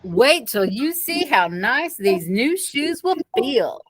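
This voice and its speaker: A woman's voice: on the high side, big pitch swings, takes it slowly, voice pushed up. A woman voicing a helpful, patient shoe salesman who coaxes in a children's story read-aloud. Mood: helpful, coaxing.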